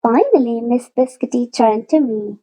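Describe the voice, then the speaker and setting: A woman reading a children's picture book aloud in an expressive storytelling manner, with deliberate, drawn-out emphasis. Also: on the high side. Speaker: storybook read-aloud narrator.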